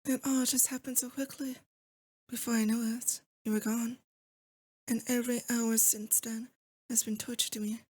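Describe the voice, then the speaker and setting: A woman's voice: a little high, flat delivery, normal speed, mellow, husky. A woman acting as a lovesick, possessive demon lord in a romantic ASMR roleplay, intimate and doting with a theatrical menace. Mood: wounded, longing.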